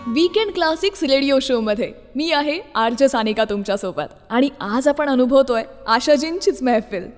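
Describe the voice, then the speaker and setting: A woman's voice: high voice, animated, moderate pace, hardly any pauses, long reverb tail, faint music behind. A woman presenting a scripted radio show as its host.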